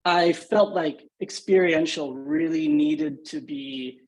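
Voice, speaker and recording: A man from Singapore, in his thirties, talks as a podcast guest in a fairly high voice. He speaks thoughtfully and keeps adding "you know" and "right?" as he goes. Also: very animated, takes it slowly, creaky, a little mellow. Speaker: guest, agency founder. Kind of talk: podcast interview.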